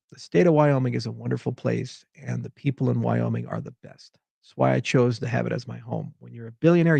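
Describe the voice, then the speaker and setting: A man's voice: mid-range voice, creaky, mellow, husky, no echo. A man from Hawaii delivering an exasperated, rambling unscripted monologue as a tech founder giving commentary.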